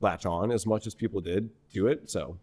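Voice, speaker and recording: Low-voiced young man telling a story. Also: normal speed. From New Hampshire, USA. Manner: rambling, animated storytelling with frequent "like" and restarts. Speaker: singer-songwriter guest. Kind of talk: casual conversational interview.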